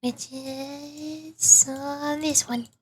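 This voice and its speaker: A woman's voice: sits high, fairly even intonation, drawn-out words, background noise. A woman streaming a video game, giving casual commentary and thinking aloud in short bursts.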